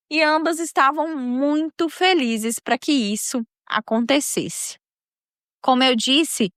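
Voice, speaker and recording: A woman with a strong Mineiro accent tells a real-life story on her podcast, chatty and quick to laugh. Her voice sits a little high, and the recording is dry and close. She talks straight to her listeners as if they were friends. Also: a bit bright, no echo. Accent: Mineiro (Minas Gerais). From Minas Gerais, Brazil. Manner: chatty, laughs often, addresses listeners directly. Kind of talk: casual storytelling narration.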